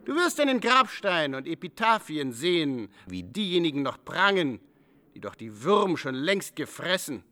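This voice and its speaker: Cocky cynical robber soldier, highish voice that swings all over, old-fashioned radio drama speech. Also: male voice, very animated, normal speed, sharp tone. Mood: scornful, defiant. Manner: declamatory, swaggering, archaic phrasing. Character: brazen, cynical male robber and soldier, scornfully self-justifying. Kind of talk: German radio play acting.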